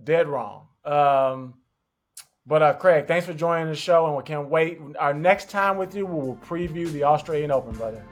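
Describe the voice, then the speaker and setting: A man hosting a tennis podcast, talking in a relaxed, conversational way. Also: a little high, normal speed, soft background music. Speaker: podcast host. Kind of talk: podcast interview.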